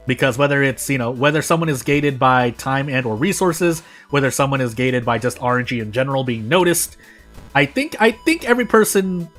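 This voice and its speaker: A man's voice: on the high side, a bit bright. An American man giving casual live commentary as a game streamer, rambling and often correcting himself as he goes. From United States. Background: music.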